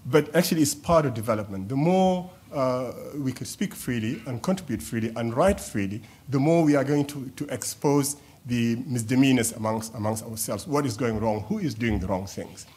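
A man's voice: medium pitch, moderate pace, hardly any pauses, darkish tone. A man from South Sudan giving earnest panel remarks at a conference table, often repeating words as he searches for the next point. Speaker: panel discussant. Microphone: table microphone.

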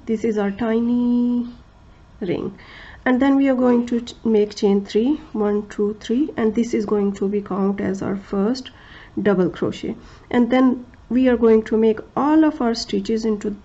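A woman who speaks English as a second language gives a crochet tutorial, talking slowly and carefully, with many fillers and restarts, and counting stitches aloud. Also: on the high side, steady tone, dark tone, husky, muffled recording. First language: not English. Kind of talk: step-by-step craft tutorial.

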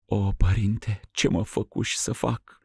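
A frightened princess, torn and tearful, her voice pushed up high and pleading, as a man puts her on. It sounds close and dry against the reader's deep register. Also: steady tone, vocal fry, warm tone, breathy edge, no room sound, exaggerated voice. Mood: grieving. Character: frightened grieving noble mother, a princess.

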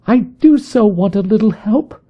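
A man voicing a distraught, timid young woman who has come for help, pleading in a high, sobbing voice. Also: lively intonation, measured pace, dark tone, slightly rough.

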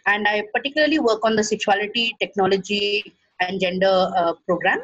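Woman panelist, husky, filler-heavy, dry and dull call audio. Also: medium pitch, fairly even intonation, fairly quick, a bit bright, no room sound. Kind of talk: webinar discussion.

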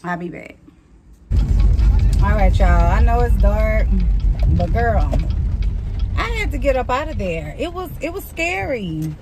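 A woman, chatting casually to the camera from a salon and then from a parked car at night, animated and talkative. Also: medium pitch, unhurried, long pauses. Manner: chatty, animated storytelling, addresses viewers as girl. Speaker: hairstylist vlogger. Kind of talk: casual vlog chat to camera. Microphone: phone camera held close.

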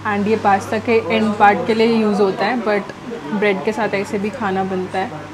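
A woman's voice: medium pitch, little pitch movement, normal speed, a crowd in the background. A woman, chatting casually as a food vlogger and switching between two languages. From India. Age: 20s. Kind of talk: casual vlog review chat, mixing Hindi and English. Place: busy cafe dining room. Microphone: clip-on lapel mic. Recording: background music under voice. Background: music.

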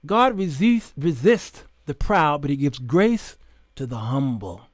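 Male American talk host, high register, dry close-mic sound, emphatic preacherly monologue. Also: measured pace, dry recording. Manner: animated, preacherly, emphatic, with rhetorical questions and acted-out dialogue. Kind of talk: informal opinionated monologue to camera. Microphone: close condenser microphone on boom arm.

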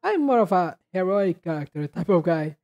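A man chatting casually and haltingly as he hosts a reaction video, rambling in a low-key way. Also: sing-song, a little mellow, dull audio. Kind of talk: casual chat, reacting to game trailers.

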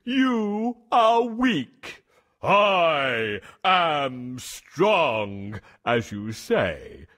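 A man voicing a proud, mighty, boastful lion king in a children's fable, with a theatrical, drawn-out delivery. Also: a little high, very animated, a bit bright, heavily acted. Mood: amused, scornful.

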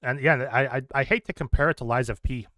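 A man's voice: medium pitch, fast talker, pushed and bright, dry recording. A man in his thirties giving casual commentary while streaming a game, chatting with viewers through a headset at home. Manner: chatty, reacting to the game and to chat.

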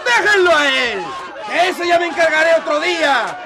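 An actor playing a loud, indignant betrayed husband bent on revenge in a radio drama. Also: male voice, very high voice, lively intonation, normal speed, background noise. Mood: vengeful fury. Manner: shouting, indignant. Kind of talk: Spanish-language radio drama acting. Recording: crowd clamor behind.

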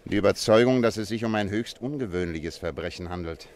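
An actor playing a confident, courteous detective with a keen analytical mind, precise and polite in a German radio play. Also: male voice, lowish voice, a little fast, a little hiss or hum, natural voice.

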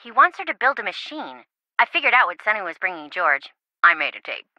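An actress playing a bubbly, scatterbrained young best friend in a video game, chatty, playful and melodramatic, in band-limited audio. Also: female voice, mid-range voice, big pitch swings, fairly quick, no room sound, muffled recording, natural voice. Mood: playful, excited. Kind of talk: video game phone-call dialogue.

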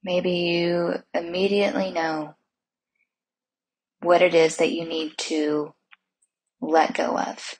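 Very breathy, slow woman guiding meditation. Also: on the low side, little pitch movement, low-quality recording. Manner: soothing, unhurried, gentle instruction with long pauses. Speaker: guided meditation host.

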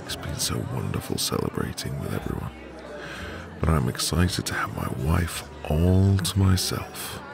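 Deep, creaky, tender newlywed husband, acted by a man. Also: big pitch swings, crowd noise, heavily acted. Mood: tender, happy. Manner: soft, intimate, hesitant, savoring pauses. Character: tender, devoted newlywed husband, romantic and gentle. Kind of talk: ASMR boyfriend roleplay. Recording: music behind the voice. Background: music.

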